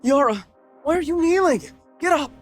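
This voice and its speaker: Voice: high voice, animated, moderate pace, soft background music. A performer playing the indignant grown child of a noble matriarch in a dubbed period drama, earnest and protesting.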